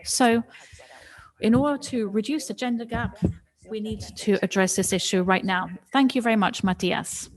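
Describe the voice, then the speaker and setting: A woman's voice: medium pitch, moderate pace, rough recording. A woman giving a simultaneous interpretation of a panel presentation, with frequent restarts and cut-off words. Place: online panel.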